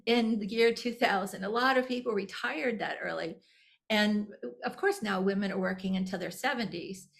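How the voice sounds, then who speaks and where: A woman's voice: mid-range voice, creaky. A woman in her sixties, a podcast guest, talking candidly and reflectively, often restarting her sentences and laughing lightly. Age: 60s. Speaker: guest, nonprofit executive director. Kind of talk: conversational podcast interview.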